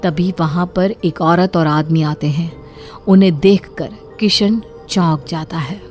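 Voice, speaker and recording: Lowish-voiced woman narrating horror stories. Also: unhurried, a little mellow, big-room sound, soft background music. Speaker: storyteller. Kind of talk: dramatic scripted horror-story narration.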